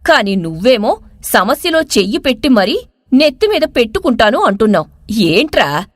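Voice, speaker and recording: Casting: woman as exasperated village mother, worried and scolding, very animated intonation, close dry sound. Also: fairly high pitch, normal speed, no echo.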